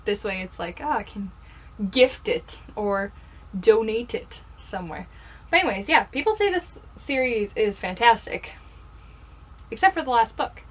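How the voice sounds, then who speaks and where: A woman's voice: fairly high pitch, lively intonation, moderate pace. A young woman chatting casually in a vlog style, playfully self-deprecating and often putting questions to herself. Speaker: book vlogger. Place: bedroom.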